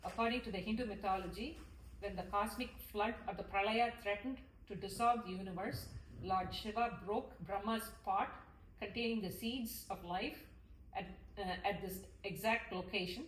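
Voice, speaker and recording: Woman, halting lecture delivery, echoey, distant mic, faint noise. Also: mid-range voice. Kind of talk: lecture read from notes with some informal asides.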